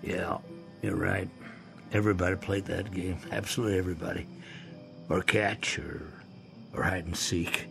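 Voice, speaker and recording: An actor playing a gruff, skeptical old man in a radio drama, with a dry, grumbling manner. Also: low voice, steady tone, moderate pace, long pauses, music in the background. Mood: nostalgic.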